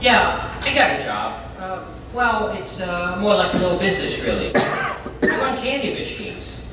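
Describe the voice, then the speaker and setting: An actor in a live stage play, playing an earnest, halting younger ex-convict, heard from afar in an echoey room. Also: male voice, high voice. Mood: proud, halting. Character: earnest, eager younger ex-convict, candy-machine businessman. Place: indoor stage, recorded from afar. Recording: echoey distant stage recording with noise.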